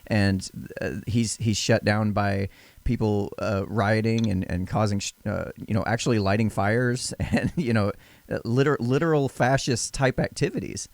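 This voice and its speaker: A man with a deep voice hosting a casual podcast interview, often stammering, repeating words and restarting his sentences. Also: fairly even intonation, moderate pace, no echo. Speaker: podcast host.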